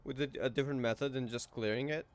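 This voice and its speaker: A man's voice: medium pitch, steady tone, fast talker. Curious man reacting to a game. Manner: curious, thinks aloud with questions. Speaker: gaming streamer. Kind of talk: casual playthrough reaction.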